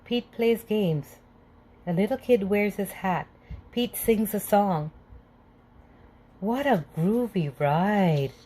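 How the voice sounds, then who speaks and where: A woman reading a children's picture book aloud in a slow, clear, gentle way. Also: medium pitch, lively intonation, slight background noise. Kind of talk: read-aloud storytelling.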